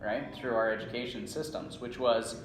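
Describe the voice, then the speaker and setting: A man's voice: mid-range voice, steady tone, normal speed, background noise. A Canadian-accented man, a coach being interviewed, explaining things conversationally and often checking in with "right?". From small-town Saskatchewan, Canada. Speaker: strength coach, interview guest. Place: indoor room at a conference. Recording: roomy echo, faint background ambience.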